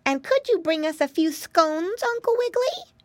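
A woman voicing an excitable, polite little boy piglet in a storybook, eager and exclamatory. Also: very high voice, normal speed, no echo, voice pushed up.

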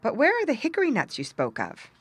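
A woman's voice: fairly high pitch, wildly swooping pitch, fast, husky, dry recording, pitched above the performer's own voice, exaggerated voice. A woman voicing a naive, eager, comical little male pig in a storybook, polite and easily astonished. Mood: curious.

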